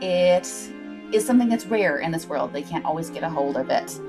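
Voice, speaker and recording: A woman's voice: low-pitched, animated, a little fast. A woman hosting a livestream, chatting informally with viewers and recapping a story, with asides to the chat. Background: background music.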